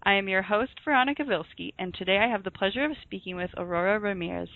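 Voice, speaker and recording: A woman's voice: medium pitch, fast, creaky, no echo. A woman hosting an interview, asking questions in a friendly way with brief replies. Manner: friendly, brief responses, laughs along. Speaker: host. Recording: dry tinny phone-like line.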